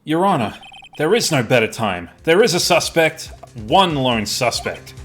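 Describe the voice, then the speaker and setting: A man voices a young defense lawyer in a game fan dub. He is earnest and a little nervous, given to hesitation. Music plays clearly behind the voice. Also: a little high, big pitch swings, a little slow, a bit bright. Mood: resolute.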